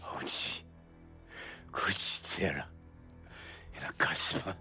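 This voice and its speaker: A man playing a suffering monk near death in a video game, weak and halting, pleading through his pain. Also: pushed and bright, soft background music. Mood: anguished confession. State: weak, in pain, struggling to speak. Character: broken, suffering, gentle adult male monk near death. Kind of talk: dramatic video game acting.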